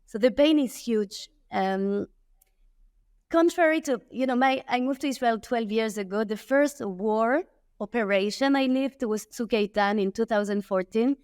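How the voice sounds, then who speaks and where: A woman from France speaks in English with a French accent. Her voice sits a little high and comes through smooth and clear. She talks earnestly and with feeling, often restarting her sentences and checking in with "okay?", recorded dry and close. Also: measured pace, darkish tone, no echo. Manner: earnest, emphatic, with frequent restarts and "okay?" asides. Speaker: guest, tech entrepreneur. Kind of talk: remote interview conversation.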